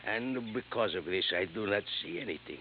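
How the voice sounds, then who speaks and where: An actor playing an eager immigrant gardener who speaks broken English with earnest pride, in a 1950s radio drama. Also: male voice, fairly low pitch, animated, normal speed, close to an everyday voice. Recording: tinny lo-fi old radio broadcast with faint hiss.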